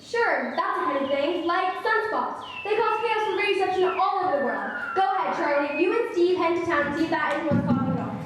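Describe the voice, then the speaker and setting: A woman's voice: extremely high pitch, animated, a little fast, hardly any pauses, distant mic. A young woman playing a dismissive, placating suburban parent in a stage radio play. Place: school stage performance. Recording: very echoey hall, faint noise.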